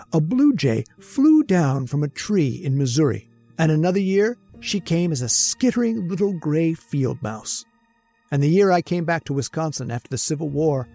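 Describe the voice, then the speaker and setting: Casting: a man to play an uneasy, ageless husband under a witch's thumb, gravelly, rueful storyteller for old-time radio horror, faint music underneath. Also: medium pitch, mellow. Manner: wistful storytelling, flustered stammering in dialogue. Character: nervous, henpecked, long-lived husband of a witch.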